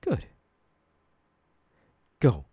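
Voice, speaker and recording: A man playing a menacing, unhinged young supervillain boss in an ASMR audio roleplay, threatening his underlings slowly and deliberately. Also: warm tone, no echo. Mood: threatening anger.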